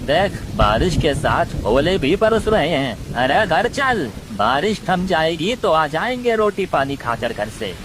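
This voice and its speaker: A man's voice: on the high side, very animated, takes it slowly, few pauses, noisy background. A man voicing an elderly, plain-spoken farmer bird uncle, fretful but firm, in a cartoon story. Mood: worried. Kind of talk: Hindi cartoon story voice acting.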